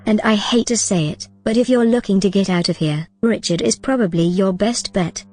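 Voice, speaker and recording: A woman playing an AI companion, speaking calmly, precisely and reassuringly. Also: mid-range voice, moderate pace. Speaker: AI companion character. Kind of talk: audio drama acting.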